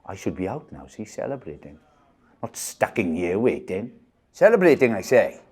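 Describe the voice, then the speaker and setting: A man's voice: sits low, lively intonation, moderate pace, darkish tone, slightly rough. An actor playing a cantankerous, foul-mouthed older male war veteran with a British accent, grumbling and indignant. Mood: ranting. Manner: grumbling, indignant, swears often. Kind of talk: film drama acting.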